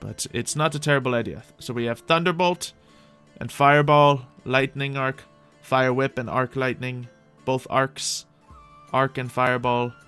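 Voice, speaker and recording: A man commentating on his own video game play, thinking aloud in an animated way with playful exclamations. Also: medium pitch, measured pace, clear, resonant tone. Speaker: gaming YouTuber playing a Minecraft roleplay series. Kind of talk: gameplay commentary. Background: background music.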